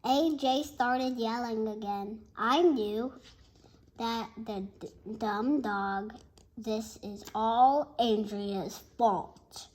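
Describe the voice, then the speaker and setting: A child reading a bedtime story aloud in an expressive, halting way, with asides to listeners. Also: medium pitch, rough recording. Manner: expressive, halting, shouts out dialogue. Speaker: storybook reader.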